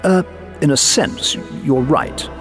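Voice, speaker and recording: A man's voice: mid-range voice, wildly swooping pitch, faint music behind, voice pushed up. A man voicing an older, courteous, puzzled former air force pilot in a story. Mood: faltering, explaining. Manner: formal, halting, explanatory.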